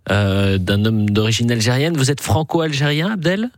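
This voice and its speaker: A man's voice: mid-range voice, normal speed, no room sound, studio quality. Earnest male radio talk host. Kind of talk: radio talk show hosting. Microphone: studio microphone.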